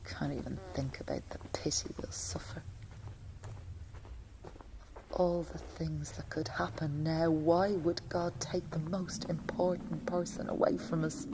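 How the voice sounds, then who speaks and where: A woman's voice: low-pitched, steady tone, a little slow, in a whisper, poor audio. A woman playing an anxious working-class young mother who fears for her husband, in an intimate audio drama monologue. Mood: grieving, self-steeling. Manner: hushed, breathy inner thoughts broken by spoken-aloud outbursts. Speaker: actor in a solo audio play.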